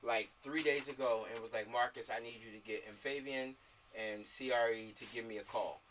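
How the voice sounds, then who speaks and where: American man, bright voice, distant video-call mic. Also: medium pitch, fairly even intonation, fairly quick, a little hiss or hum. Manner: repeats himself, often seeks agreement. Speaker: film director organizing a shoot. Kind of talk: casual planning chat on a group video call.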